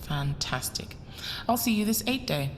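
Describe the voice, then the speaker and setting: An actress playing a wary, wealthy smuggling boss in an audio drama, with a polished, guarded and condescending manner. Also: female voice, animated, measured pace, close to an everyday voice. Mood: polite, reserved. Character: wary, wealthy woman running secret smuggling ventures. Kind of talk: scripted audio drama acting. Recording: long reverb, clear rumbling background noise.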